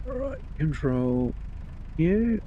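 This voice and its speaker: A man with a New Zealand accent hosting a live gameplay stream, chatting with viewers in a relaxed way, his voice a little croaky from a cold. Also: a little high, extremely slow, phone-quality sound, a little hiss or hum. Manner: relaxed, chatty, greets viewers by name, many ums. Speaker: livestream host.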